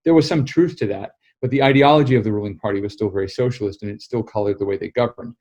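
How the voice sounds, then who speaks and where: A man with an American accent, born in South Africa, answering interview questions in a measured, explanatory way. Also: on the low side, darkish tone, highs cut off. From South Africa, raised in the United States. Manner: measured, explanatory, building long arguments. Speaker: guest, editor and author. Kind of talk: political podcast interview.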